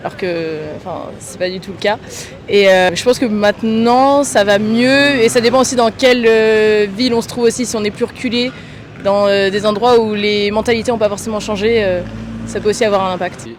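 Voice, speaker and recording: A woman from France answering a street interviewer outdoors in a reflective, measured way with frequent fillers. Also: mid-range voice, fairly even intonation, a little hiss or hum.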